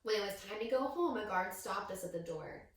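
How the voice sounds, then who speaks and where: A woman reading a picture book aloud to young children as a teacher, with expressive storytelling and questions to her listeners. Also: medium pitch, brisk, slight background noise. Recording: very echoey room, distant microphone.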